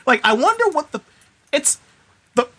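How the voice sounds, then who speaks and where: A man's voice: extremely high pitch, sing-song, moderate pace, over a noisy crowd. A man co-hosting a podcast, giving blunt opinions in casual chat with plenty of swearing. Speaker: podcast co-host.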